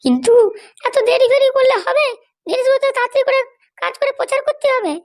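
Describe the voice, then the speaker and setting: A woman's voice: extremely high pitch, expressive, fast. A woman voicing a hot-tempered, foul-mouthed wife who is running for election in a comedy cartoon, with a scolding, threatening manner. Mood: fretful, complaining. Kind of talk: amateur comedy cartoon dialogue.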